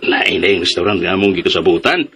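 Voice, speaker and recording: An actor in a radio drama playing a smug, pushy, jealous man who teases and scoffs in a theatrical, drawn-out way. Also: low-pitched, expressive, pushed and bright, slight background noise, heavily acted. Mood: awkward, flustered.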